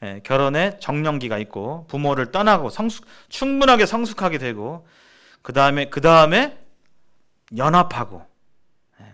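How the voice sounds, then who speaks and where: A middle-aged man from South Korea teaching in a sermon-like seminar style, explaining haltingly and restating his phrases as he goes. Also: a little high, big pitch swings, bright, forceful tone, clean tone. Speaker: church seminar preacher.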